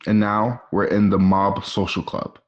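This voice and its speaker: A man's voice: fairly low pitch, little pitch movement, measured pace, muffled recording. A man reading screenplay stage directions aloud as the narrator of a radio play. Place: at home.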